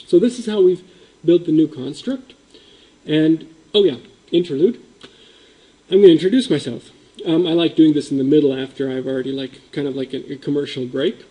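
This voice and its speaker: A man's voice: fairly high pitch, fairly quick, warm tone, poor audio. A man who grew up in Indonesia, giving a conference presentation in a meeting room, explaining with emphasis and frequent ums.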